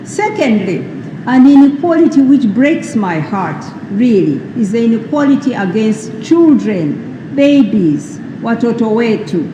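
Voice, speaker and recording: A woman from Uganda giving a formal public speech, slow and emphatic, posing rhetorical questions. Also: a little high, big pitch swings, echoey room, long reverb tail, lo-fi sound, noisy background. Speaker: speaker at a World AIDS Day event. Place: large hall at a public ceremony.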